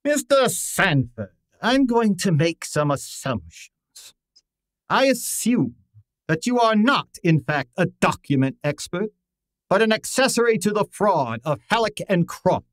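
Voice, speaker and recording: A man's voice: sits high, big pitch swings, no echo. Man acting an imperious, formal radio detective. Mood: stern, accusing. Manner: formal, deliberate, interrogating. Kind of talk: old-time radio drama acting.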